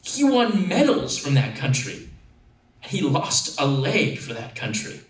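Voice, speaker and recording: A man reads a story aloud in a slightly high voice, with an actor's expressive delivery. The recording is roomy and echoing, with him standing some way from the microphone. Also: a little slow. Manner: expressive, acting out dialogue. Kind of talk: dramatic first-person story reading. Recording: echoey room, distant microphone.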